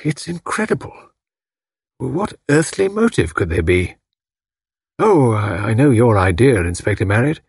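A man voicing a haggard, greying gentleman in an audiobook, stubbornly loyal and indignant, with a formal, pained, emphatic delivery. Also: medium pitch, sing-song, measured pace, vocal fry, long pauses, husky, dry recording, clean studio sound, heavily acted. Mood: distressed, indignant. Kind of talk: audiobook character acting.

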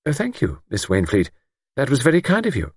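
A man puts on a brisk young woman for an audiobook, pitched up and very animated. She is forthright and self-assured, with a short, matter-of-fact way of speaking. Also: slightly rough, dry recording, clean studio sound, exaggerated voice. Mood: brisk politeness. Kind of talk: audiobook character acting.

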